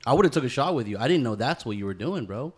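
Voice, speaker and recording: A man's voice: mid-range voice, expressive, quick pace, slightly rough. A man hosting a podcast in casual banter, swearing freely and peppering his talk with slang like dude and bro. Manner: slangy, profane, says "dude" and "bro" often. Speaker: podcast host.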